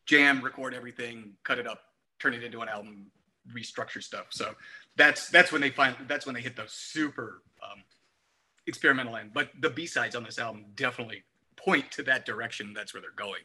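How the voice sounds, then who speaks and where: A man's voice: medium pitch, a little fast, pushed and bright, breathy edge. A man co-hosting a music podcast, chatting casually about albums with the other hosts.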